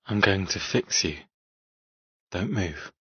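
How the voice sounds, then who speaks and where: A low, murmuring possessive captor. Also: male voice, animated, husky, no room sound, highs cut off, exaggerated voice. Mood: soothing. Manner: soft, murmuring, deliberate. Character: possessive, intense man tending his wounded captive woman. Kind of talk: audiobook character dialogue.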